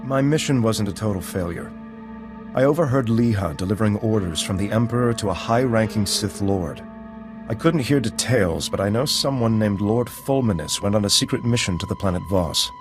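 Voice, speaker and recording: An actor playing a weary, earnest knight in a video game, speaking soberly. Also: male voice, on the low side, expressive, moderate pace, music in the background, close to an everyday voice. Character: weary, grateful male Jedi, earnest.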